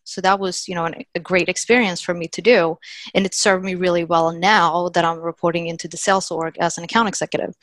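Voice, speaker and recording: A woman's voice: fairly low pitch, a bit bright, dry recording. A woman, chatting as a podcast guest in an upbeat, filler-heavy way. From Sweden. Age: 30s. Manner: chatty, upbeat, many "like" and "you know" fillers. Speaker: podcast guest, sales account executive. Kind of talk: casual interview chat.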